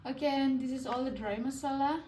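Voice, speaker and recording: A woman's voice: high-pitched, brisk, dark tone. Indonesian woman teaching a home recipe. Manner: friendly, explains step by step with small laughs. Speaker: cooking vlogger. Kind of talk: casual cooking tutorial. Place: home kitchen, stove frying. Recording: distant mic, audible sizzling kitchen noise.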